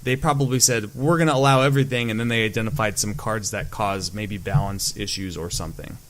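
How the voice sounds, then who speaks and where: A man's voice: on the low side, a little fast. A man co-hosting a casual podcast, explaining his points at length with frequent restarts. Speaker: podcast co-host.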